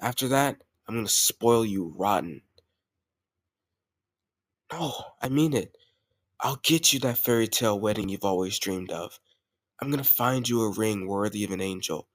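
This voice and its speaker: A man's voice: lowish voice, moderate pace, long pauses, no echo, lightly acted. A man playing a confident, doting mafia boss boyfriend in an intimate roleplay audio, speaking affectionately and directly to a lover. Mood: doting, earnest. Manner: affectionate, self-assured, addressing a lover directly. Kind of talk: intimate roleplay audio, scripted romantic monologue.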